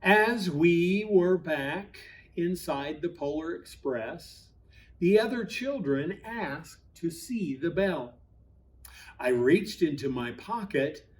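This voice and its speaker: Male storybook reader, pastor type, slightly high-pitched, slow and expressive, pausing for drama, reading to children. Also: a little hiss or hum. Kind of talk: storybook read-aloud for children.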